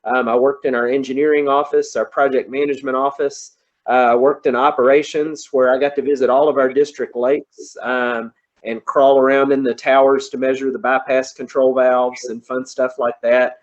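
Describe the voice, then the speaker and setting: A man's voice: medium pitch, little pitch movement, moderate pace, dull audio. A man in his forties with a Kentucky accent, giving a folksy, conversational presentation as a guest engineer over a video call. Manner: folksy, conversational, says y'all. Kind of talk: online presentation. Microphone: computer on a video call.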